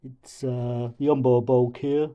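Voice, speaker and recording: A man voicing a pompous, booming oaf with a cold, delivering halting mock-scholarly film criticism. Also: little pitch movement, narrow, tinny audio, natural voice. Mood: boastful, aggrieved. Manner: pompous, mock-intellectual, halting over long words. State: has a cold.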